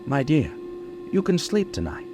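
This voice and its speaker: A man's voice: a little high, huge pitch swings, a little slow, heavily acted. A man playing an earnest young doctor, narrating his journal in a formal, dramatic old-time radio style. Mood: anxious, tender. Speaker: narrator and character. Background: prominent music.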